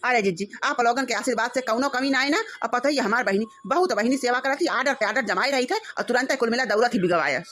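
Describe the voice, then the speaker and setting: A woman's voice: on the high side, fast, few pauses, lightly acted. A woman with an Awadhi accent voicing a flustered, fawning village mother-in-law in a comedy cartoon, talking effusively and repetitively. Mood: effusive, flattering. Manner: effusive, repetitive, swinging to shouted orders. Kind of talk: rural family comedy cartoon.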